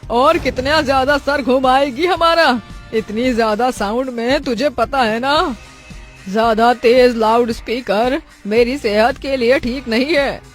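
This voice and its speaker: A woman voicing a fussy middle-aged village mother-in-law in a family cartoon, with drawn-out exclamations and nagging questions. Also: high-pitched, soft background music. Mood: scolding. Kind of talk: Hindi family cartoon voice acting.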